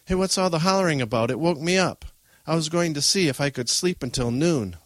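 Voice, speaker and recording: A man's voice: medium pitch, steady tone, normal speed, dry recording, natural voice. A man voicing a small twelve-year-old boy, a cheeky younger brother who blurts things out casually in an audiobook. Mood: grumbling. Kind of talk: audiobook character voice.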